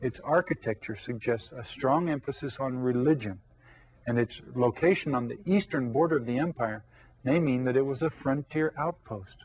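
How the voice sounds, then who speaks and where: A man's voice: medium pitch, a little mellow, poor audio. A man teaching a Spanish lesson to young children, explaining patiently and repeating key words. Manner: patient, explains slowly with repetition.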